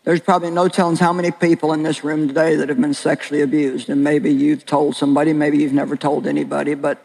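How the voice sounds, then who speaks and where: An older woman with a very deep voice preaching a sermon and sharing her own story in an earnest, plain-spoken way with slow, deliberate pauses. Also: little pitch movement, gravelly, darkish tone, husky, big-room sound, rough recording. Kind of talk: sermon with personal testimony. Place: large hall with an audience.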